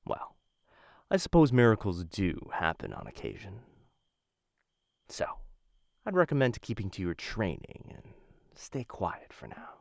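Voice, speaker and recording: A man playing a menacing, infatuated assassin in a boyfriend ASMR roleplay, speaking low, hushed and intimate into a close microphone, teasing and often slipping into whispers. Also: wildly swooping pitch, unhurried, long pauses, no echo, exaggerated voice. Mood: mocking, calm threat. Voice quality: low, gravelly.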